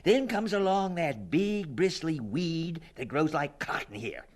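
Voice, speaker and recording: High-pitched, folksy, rambling man. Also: very animated, measured pace. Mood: eager, folksy. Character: folksy, rambling, earnest subordinate man pleading with his boss. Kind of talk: audio drama acting.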